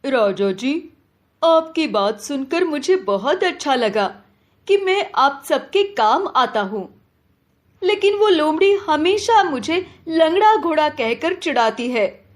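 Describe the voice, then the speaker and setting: A woman's voice: sits high, very animated, takes it slowly, full character voice. A woman voicing a sad, hurt young male horse in an earnest, plaintive way for a children's moral story. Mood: sad, respectful.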